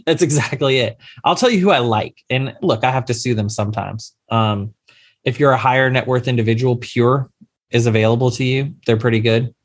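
A man's voice: mid-range voice, a little fast. A man, a lawyer giving emphatic, step-by-step advice as a guest in an interview. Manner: advisory, emphatic, explains step by step. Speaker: insurance claims lawyer. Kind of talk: podcast interview answer.

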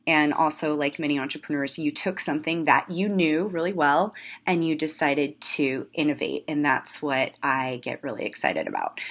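A woman hosting a podcast, her voice scratchy from a week of illness, chatting in a rambling, upbeat way with frequent laughs. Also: low-pitched. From Italian heritage. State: scratchy voice from being sick. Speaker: podcast host. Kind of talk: casual podcast intro and interview.

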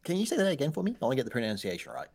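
Co-host, man, 30s, husky, wildly animated pitch, teasing and jokey. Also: racing. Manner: playful, teasing, laughs often. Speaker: podcast co-host. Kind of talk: casual chat.

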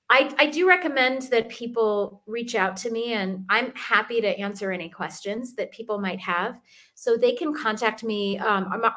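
A woman's voice: mid-range voice, fairly bright tone. A middle-aged woman, a fitness expert, speaking as an interview guest in an earnest, chatty way with frequent ums and restarts. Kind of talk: casual interview talk.

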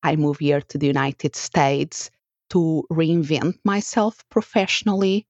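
A woman's voice: low voice, measured pace, darkish tone, dry recording, muffled recording. A woman with a Portuguese accent, a podcast host and life coach telling her own story in an earnest, reflective manner, as a native Portuguese speaker. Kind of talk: personal story told for a podcast.

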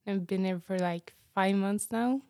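A woman's voice: medium pitch, a little slow, mellow, dry recording. A nineteen-year-old woman from Norway chatting as a guest, hesitant and giggly, with lots of fillers. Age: late teens. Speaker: guest student. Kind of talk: casual interview chat.

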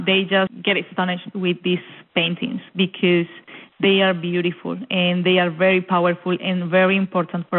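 A young woman from Colombia with a Colombian accent, a guest in an interview who talks eagerly and repeats words as she searches for them. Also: fairly low pitch. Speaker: guest, cultural centre officer. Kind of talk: casual interview chat. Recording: tinny phone line.

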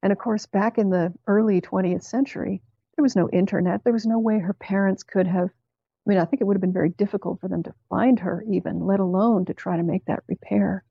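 A woman speaking as an interview guest, reflective and measured as she tells her own story. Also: mid-range voice, steady tone, gravelly, breathy edge, dry recording, dull audio. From Canada. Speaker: therapist and writer, interview guest. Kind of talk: conversational interview, telling personal story.

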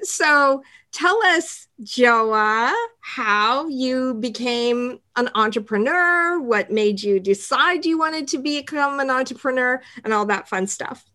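A woman from Canada hosts an interview series. Her voice sits a little high and sounds bright and crisp. She talks very slowly in a friendly, unhurried way. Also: lively intonation. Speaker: podcast interviewer, CEO. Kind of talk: interview conversation.

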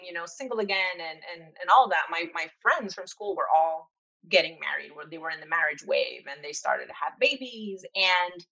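Woman from Venezuela, bright voice, dull lo-fi sound, chatty storytelling with lots of fillers. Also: medium pitch. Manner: candid, filler-heavy storytelling. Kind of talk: casual conversation.

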